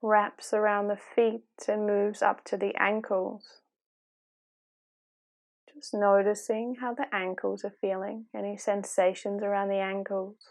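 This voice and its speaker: A woman speaks as a meditation guide at a medium pitch. Her delivery is calm and gentle, giving soft instructions without any hurry and leaving long pauses between phrases.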